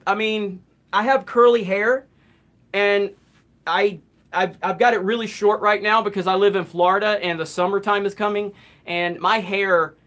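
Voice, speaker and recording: Chatty man answering viewer questions live. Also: sits high. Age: 30s. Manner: relaxed, rambling, reads comments aloud. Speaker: host. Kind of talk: live stream Q&A chat.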